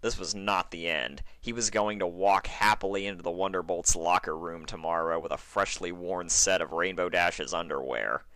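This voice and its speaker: A man's voice: low-pitched, moderate pace, few pauses, pushed and bright, dry recording. A man narrating a fan fiction story in a dramatic, scripted reading style.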